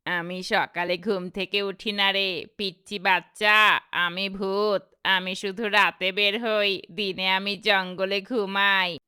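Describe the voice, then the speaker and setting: A woman plays a foolish cartoon ghost with a Bangladeshi accent, friendly and full of boasts. The voice is bright and crisply smooth, recorded very dry. It chatters on simply, more goofy than scary. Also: medium pitch, flat delivery, slow pace, dry recording. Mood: matter-of-fact. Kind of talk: cartoon character dialogue.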